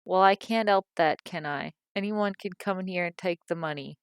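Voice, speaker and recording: A woman's voice: moderate pace, clear, resonant tone, dry recording, studio quality, natural voice. A woman voicing a cantankerous, self-pitying old working-class mother with a Cockney accent, complaining and scolding. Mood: furious. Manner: complaining, shrill when angry.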